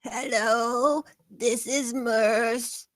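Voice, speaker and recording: A woman playing a timid, lonely old ship's masseuse with a croaky voice in a comedy table read. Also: fairly high pitch, fairly even intonation, a bit bright, dry recording, studio quality. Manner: timid, halting.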